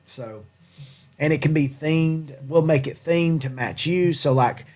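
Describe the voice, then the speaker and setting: High-pitched Southern American man, rambling casually. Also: measured pace, darkish tone. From Tennessee, USA. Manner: rambling, jokey, self-deprecating. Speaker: livestream host and hat company owner. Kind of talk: casual live chat with viewers.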